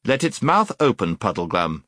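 A man's voice: lowish voice, very animated, moderate pace, fairly bright tone, no room sound, studio quality. A man voicing a noble prince in a storybook, gallant and stern, with formal, courtly, commanding speech.

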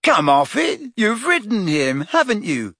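A cocky teenage lad from a storybook, voiced by a grown man, excitable and very pleased with himself. His pitch is lifted and bounces around as he boasts, with a slightly rough edge. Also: measured pace, no room sound, studio quality, exaggerated voice. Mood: teasing. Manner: boastful, chatty, upbeat.

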